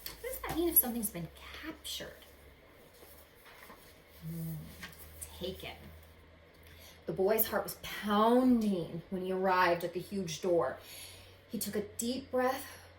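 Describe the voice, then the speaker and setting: A woman's voice: medium pitch, lively intonation. A woman reading a children's storybook aloud with dramatic expression, as if to young listeners. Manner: dramatic, pausing to ask young listeners questions. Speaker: teacher reading a picture book aloud to children. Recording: roomy echo, faint background noise.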